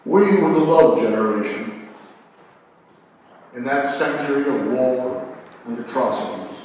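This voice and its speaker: A man in his eighties reading and introducing his own poetry at a live event, with deliberate, measured phrasing and pauses. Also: medium pitch, darkish tone, highs cut off. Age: 80s. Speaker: poet and author reading own work. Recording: very echoey hall, distant microphone, faint noise.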